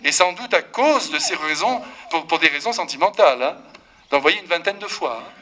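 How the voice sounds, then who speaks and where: Prêtre animé, voix claire et brillante. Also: male voice, fairly high pitch, moderate pace. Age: 50s. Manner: lively, teasing, talks straight to the congregation. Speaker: priest. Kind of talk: sermon.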